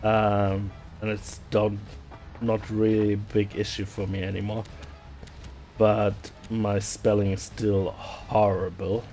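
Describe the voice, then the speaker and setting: A man from Germany with a German accent, chatting casually while playing a game, often repeating words and pausing with fillers. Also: lowish voice, level tone, warm tone, faint music behind. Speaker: gaming streamer. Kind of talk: casual gameplay chat.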